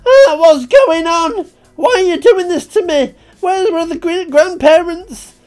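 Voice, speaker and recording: A man's voice: very high voice, measured pace, darkish tone, voice pushed up. A man voicing a bewildered, distraught victim in a comic story, screaming his questions in panic. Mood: terrified. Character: panicked, bewildered male victim of a bizarre ritual.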